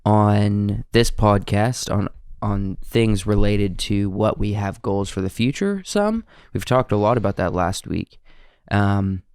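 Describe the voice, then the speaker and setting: A man with a Southern American accent, co-hosting a podcast in relaxed, rambling chat while stuffy from a slight cold. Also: fairly low pitch, takes it slowly, clear, resonant tone, dry recording, studio quality. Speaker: podcast co-host. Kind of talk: casual chat.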